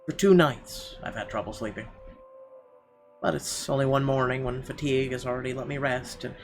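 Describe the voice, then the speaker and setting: A man's voice: medium pitch, moderate pace. A man hosting a gaming video, reading visual novel narration dramatically and breaking into irreverent, sweary asides. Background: game music.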